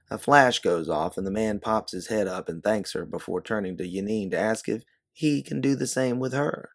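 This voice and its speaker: A man narrating a scripted story in the second person, as its author and storyteller. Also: lowish voice.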